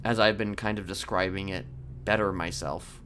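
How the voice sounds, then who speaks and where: A man in a game roleplay voicing a calm, sinister criminal manipulator who speaks haltingly and deliberately in formal words. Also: low voice, slight background noise. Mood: measured, confessional. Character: soft-spoken sinister male criminal manipulator, calmly confessing. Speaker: roleplay streamer. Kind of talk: improvised in-character game roleplay.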